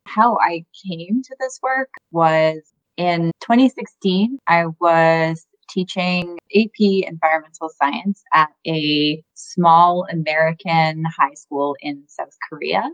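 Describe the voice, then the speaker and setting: A woman's voice: fairly low pitch, takes it slowly, hardly any pauses, no room sound, highs cut off. A young adult woman, a campaign organizer, earnestly reflecting on how she came to her activism. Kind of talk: interview answers.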